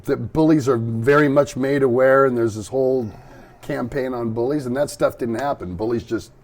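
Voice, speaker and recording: A man's voice: mid-range voice, fairly even intonation, moderate pace, darkish tone. A middle-aged man with an American accent, hosting a casual interview in informal, slangy language. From California, US. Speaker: podcast host.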